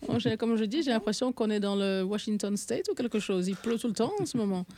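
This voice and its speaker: A woman's voice: mid-range voice, fast talker, dark tone. A woman from France, a guest on a community radio show, chatting casually about her life and training with frequent restarts.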